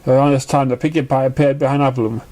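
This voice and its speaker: A man reading a story aloud as an amateur narrator, with a halting delivery that stumbles over words. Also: mid-range voice, fairly even intonation, dark tone. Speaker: amateur fan fiction reader.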